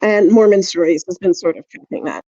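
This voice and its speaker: A woman with a soft-edged, mellow voice chats casually as a podcast co-host. She rambles and halts as she tells things. The sound is dry and slightly dull, with a lo-fi feel. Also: on the low side, no echo. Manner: rambling, halting storytelling. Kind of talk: casual chat.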